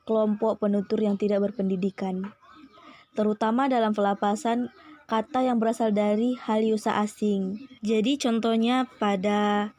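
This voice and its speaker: A woman's voice: mid-range voice, dark tone, dry recording. A young woman presenting a school assignment, steadily reading through a list of lesson points. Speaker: student presenter.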